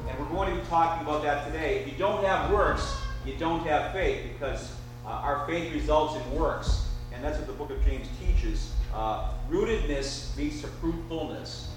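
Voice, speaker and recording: A pastor speaking to his congregation, halting and filling pauses with "uh.". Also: fairly high pitch, over music. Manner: halting, full of "uh" fillers. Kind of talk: church announcement and sermon intro. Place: church sanctuary. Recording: distant mic in an echoey church hall.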